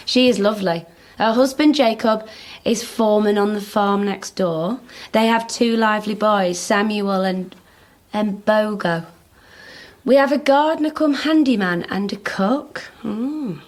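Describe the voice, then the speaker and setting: A woman's voice: mid-range voice, measured pace, close to an everyday voice. A woman playing a curious, playful British character with a wry manner in a naturalistic radio drama. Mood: reading aloud. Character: nosy, wry British woman rummaging through an estate. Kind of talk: naturalistic radio drama dialogue and reading aloud.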